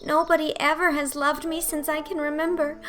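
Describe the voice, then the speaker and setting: A woman's voice: sits high, little pitch movement, a little fast, fairly bright tone, faint music behind, pitched above the performer's own voice. A woman voicing a melodramatic, imaginative young girl in a storybook reading, gushing in flowery, old-fashioned phrases. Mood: awed, rapturous.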